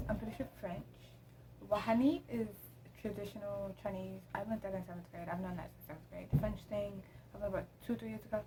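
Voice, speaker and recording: A woman's voice: medium pitch, a little mellow, slight background noise, poor audio. A teenage girl vlogging at home, chatting casually to her camera, rambling with lots of ums. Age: teens. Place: room at home.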